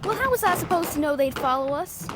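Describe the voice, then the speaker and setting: A woman's voice: high voice, animated, quick pace, very noisy. A woman playing a brash, brave, wry treasure hunter in an audio roleplay, bantering informally with the listener. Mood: frantic, urgent. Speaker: voice actor.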